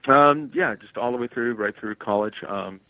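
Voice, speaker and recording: Phone-line man, low-pitched, telling stories casually. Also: steady tone, moderate pace, no echo. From Canada. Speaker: interview guest, stage entertainer. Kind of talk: casual conversational storytelling. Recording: tinny phone-like line, dry.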